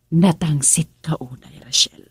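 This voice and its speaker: A woman's voice: low voice, measured pace, slightly rough, no room sound, full character voice. An actress playing a firm, protective middle-aged mother in an Ilocano radio drama, with an Ilocano accent and an admonishing manner. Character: older mother giving firm advice, scolding, protective.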